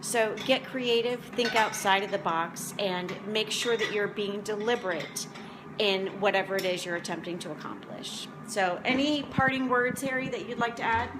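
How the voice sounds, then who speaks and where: A woman's voice: mid-range voice, moderate pace, noisy background. A woman in her forties chatting casually to viewers as a motivational vlog host, talking in a rambling, conversational way. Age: 40s. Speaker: motivational vlog host and food prep coach. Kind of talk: casual chat to camera.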